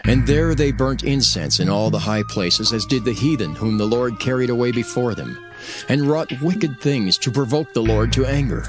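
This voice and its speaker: Male narrator, solemn and declamatory, mid-pitched, for dramatized Bible narration with loud music bed. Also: moderate pace. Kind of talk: scripted dramatized scripture narration.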